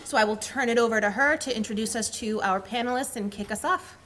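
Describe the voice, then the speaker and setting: A woman town planner welcoming a meeting audience and making announcements in a brisk, organized way with some fillers. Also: fairly high pitch, noisy background.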